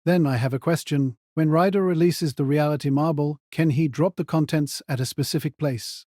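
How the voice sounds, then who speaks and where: A man's voice: fairly high pitch, fairly even intonation, moderate pace, darkish tone, no echo, studio quality, lightly acted. A man voicing a coolly commanding male strategist in an audio drama, terse and businesslike. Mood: brusque, questioning.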